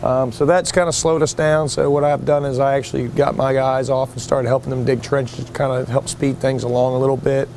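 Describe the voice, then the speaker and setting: A foreman gives a quick, matter-of-fact progress update outdoors at a windy beach-side job site. He talks fast in a flat, level tone, with faint outdoor noise behind him. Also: medium pitch. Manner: brisk, matter-of-fact, working-crew talk.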